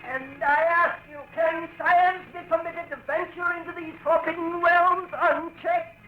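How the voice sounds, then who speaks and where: An actor playing an alarmist public orator, strident and declamatory, in 1940s radio drama style. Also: male voice, extremely high pitch, level tone, a little slow, phone-quality sound, soft background music. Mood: righteous alarm. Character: alarmist, thundering public orator denouncing mad science. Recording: noisy old radio drama recording.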